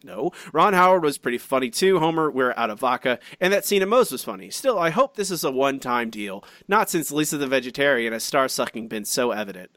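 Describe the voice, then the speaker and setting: A man's voice: mid-range voice, fairly quick, few pauses, dry recording. A man in the middle of his voice range hosts a casual podcast, reading comments aloud and breaking off into asides and restarts. The sound is dry and close, with no room around it. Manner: chatty and animated, many restarts and asides. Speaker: podcast co-host.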